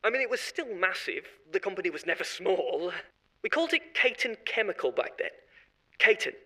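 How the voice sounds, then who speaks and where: An actor playing an unsettling head of marketing in a horror audio drama, rambling and confiding with odd cheer. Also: male voice, sits high, sing-song, moderate pace, bright, forceful tone, full character voice. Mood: uneasy chatty. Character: eerie corporate marketing head, adult man, unsettling.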